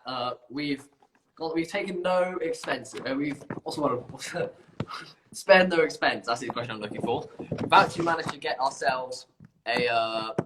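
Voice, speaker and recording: A man's voice: medium pitch, background noise. A man hosting an informal live competition stream, chatty and self-deprecating, bumbling along as he presents. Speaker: competition livestream host.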